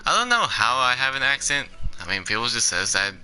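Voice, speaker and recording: A man's voice: medium pitch, big pitch swings, a little fast, pushed and bright, soft background music, poor audio. A young man giving casual, rambling commentary while playing a game, leaning on "basically" and laughing often. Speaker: gaming YouTuber.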